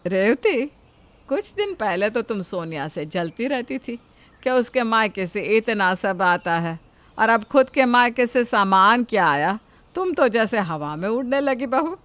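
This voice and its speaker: A woman voicing an older mother-in-law, gentle but admonishing, in a family moral story. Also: on the high side, big pitch swings, unhurried. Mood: reproving. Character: mild, reproving older Indian mother-in-law.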